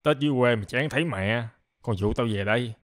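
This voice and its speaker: A man's voice: mid-range voice, brisk, lightly acted. A man voicing a grumpy, timid old grandpa in a cartoon comedy, complaining and pleading with a southern Vietnamese accent. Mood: grumbling. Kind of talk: animated comedy dialogue. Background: music.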